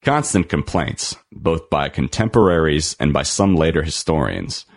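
A man reading a scripted article aloud as a narrator, in a measured, deliberate and formal manner with emphatic phrasing. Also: very deep voice, very animated, gravelly, no room sound, studio quality.

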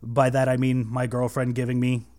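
A man's voice: medium pitch, monotone, moderate pace, darkish tone. A man hosting a casual podcast, rambling with many fillers and restarts, a little nervous, on a cheap microphone. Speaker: podcast host.

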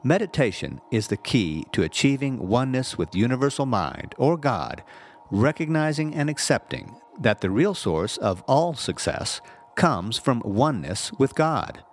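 A man reading a scripted spiritual text aloud in a measured, deliberate, instructive way. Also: medium pitch, big pitch swings, a little hiss or hum. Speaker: audiobook-style reader, reverend.

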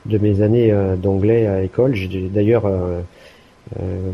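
A man chatting casually about learning a language, hesitant, with many restarts and fillers and the odd throat clearing. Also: deep voice, steady tone, unhurried, highs cut off. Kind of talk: casual conversation.

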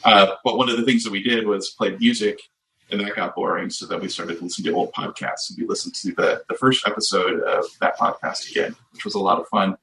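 A man's voice: on the low side, level tone, fairly quick, vocal fry, sharp tone, poor audio. A man co-hosting a podcast in casual chat, rambling with many fillers and restarts. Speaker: podcast co-host.

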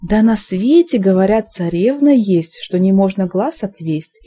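A female reader plays a young storybook prince, wistful and in love. The voice is mellow and a little husky, speaking verse earnestly with a lively rise and fall. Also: mid-range voice, measured pace. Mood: wistful wonder. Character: young fairy-tale prince, lovesick, earnest and melancholy.

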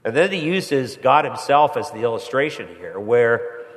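A pastor preaching a sermon with a stuffy head cold, climbing higher and louder when he presses a point. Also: animated, normal speed. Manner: rises in pitch and volume when pressing a point. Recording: long hall reverb.

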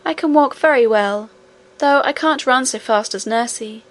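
A woman voicing an earnest, high-pitched little storybook princess. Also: normal speed, natural voice. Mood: polite, confident. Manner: earnest, childlike, precise politeness. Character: little princess, young girl, polite, innocent, stubborn, affectionate. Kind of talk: storybook character reading.

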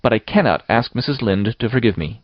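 A man's voice: on the low side, animated, a little fast, no room sound, highs cut off. A man voicing a passionate, stubborn young girl in a storybook, with a melodramatic, tearful, defiant manner. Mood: dramatic, determined.

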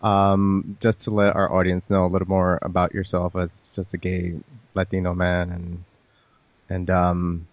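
A man's voice: sits low, monotone, measured pace, no echo. A man hosting a podcast interview, chatting casually in a giggly, teasing way, with many ums. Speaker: podcast host. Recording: dry, tinny phone-like line.

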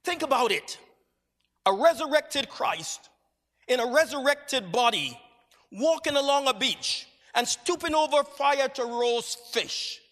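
High bright-voiced preacher from Barbados. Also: male voice, lively intonation. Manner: measured, reflective storytelling. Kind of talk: sermon. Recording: long hall reverb.

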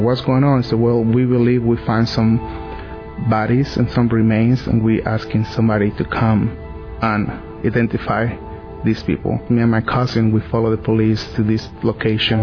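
A man with a Mexican accent giving a recorded testimony, speaking in a quiet, halting, matter-of-fact way. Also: fairly low pitch. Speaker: church member giving testimony. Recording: lo-fi video with music underneath. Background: music.

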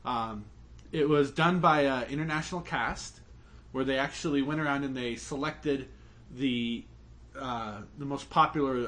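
A man co-hosting a podcast, explaining a topic at length in relaxed chat. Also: mid-range voice. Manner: explains at length, with fillers and restarts. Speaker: podcast co-host. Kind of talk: casual chat.

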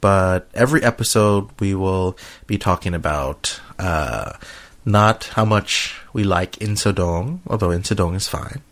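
A middle-aged American man hosting a casual conversational podcast, talking in a hesitant, halting way. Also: sits low, fairly bright tone. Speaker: podcast host.

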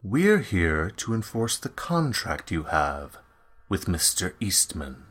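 A man voicing a menacing male goon enforcer who talks slowly and coldly. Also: sits low, big pitch swings, fairly bright tone, slightly rough, pitched below the performer's own voice, heavily acted. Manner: slow, measured, coldly menacing.

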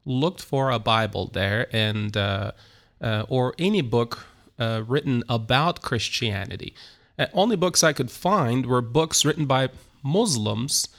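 A middle-aged man with a Turkish accent, a church planter telling his story as an interview guest, speaking haltingly with many fillers and restarts. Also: mid-range voice, expressive, a bit bright. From Turkey.

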